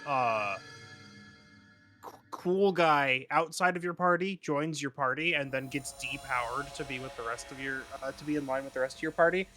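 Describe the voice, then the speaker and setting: A man's voice: medium pitch, normal speed, bright, forceful tone. A man giving casual, wry commentary over a video game, jumping in with asides as he banters. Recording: game music under the voice. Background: video game music.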